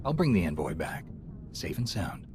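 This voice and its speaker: A man's voice: deep voice, sing-song, moderate pace, background noise. A man playing a firm, upright heroic commander in a video game, earnest and direct. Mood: businesslike. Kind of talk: video game cutscene acting. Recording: music behind the voice.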